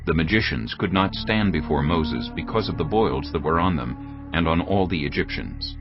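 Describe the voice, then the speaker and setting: A man narrates scripture in a deep, grave voice with expressive rises and falls. The audio is a bit muffled, and music plays steadily underneath. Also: normal speed.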